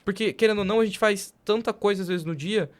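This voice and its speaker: A woman's voice: medium pitch, fast. A woman with a Brazilian accent talking casually as a podcast host.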